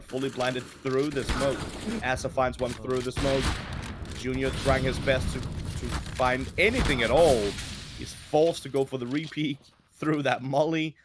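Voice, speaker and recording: A man giving casual esports color commentary with a non-English accent, often halting and repeating words. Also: medium pitch, very noisy.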